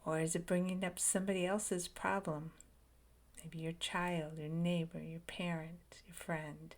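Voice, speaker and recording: A woman leading a guided meditation, speaking softly in short phrases with long pauses and frequent whispered words. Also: lowish voice, little pitch movement. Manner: slow, soft and soothing, drifting into whispers.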